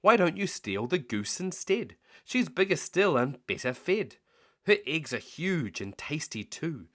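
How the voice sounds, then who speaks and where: Man voicing a coaxing sly storybook goose, pitched up. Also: very animated, a little slow, fairly bright tone. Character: sly female farmyard goose, hissing and persuasive. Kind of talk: storybook character voice.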